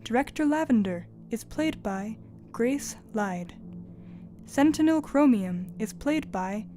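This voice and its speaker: A woman playing a calm guide who reads scripted announcements in a measured, formal way. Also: on the high side, soft background music. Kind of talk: scripted announcement, credits reading.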